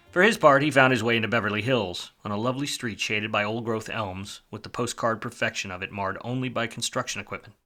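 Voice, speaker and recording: A jaded, wisecracking gumshoe from a forties noir radio play, acted by a man. His voice sits fairly low with a hard, forceful edge, and he talks in sardonic, boozy detective slang. Faint music plays behind him. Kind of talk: film noir radio play acting and narration.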